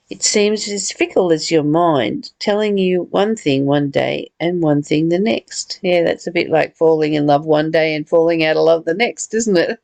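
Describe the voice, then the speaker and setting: A woman hosting a spiritual study, reading aloud and reflecting casually in a halting, deliberate way. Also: on the low side, gravelly, a little mellow, no echo. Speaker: host of a spiritual book study.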